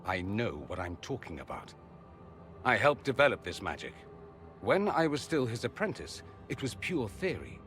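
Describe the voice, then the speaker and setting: A smooth witty mage in a game, flamboyant and sure of himself, really lively pitch, music under it. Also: male voice, moderate pace. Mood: grave, insistent. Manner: flamboyant, arch, theatrical. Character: suave, witty, self-assured male mage from a foreign empire. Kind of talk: video game dialogue acting.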